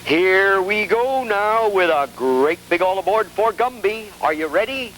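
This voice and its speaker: Animated male kids' show host, noisy old broadcast. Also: high voice, unhurried. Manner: animated, playful prompting. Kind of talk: live kids' game show hosting.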